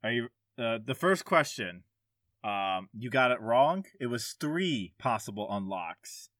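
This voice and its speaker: A man hosting a casual gaming podcast quiz, reading questions and answers aloud in a somewhat halting way. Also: mid-range voice, very animated, fairly bright tone, no echo, clean studio sound.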